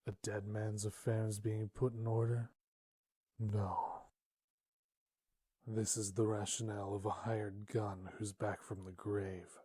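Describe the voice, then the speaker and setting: A man playing an ominous, solemn comic book narrator, husky and portentous in his dramatic scripted delivery. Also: fairly low pitch, mellow, dry recording. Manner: portentous, deliberate.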